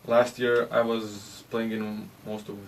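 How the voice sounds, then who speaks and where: A Latvian man, a hockey player, answering interview questions in English with a Latvian accent, haltingly and with many hesitations. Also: on the low side, monotone. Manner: halting, hesitant, with frequent "uh" and restarts. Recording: distant mic with faint background noise.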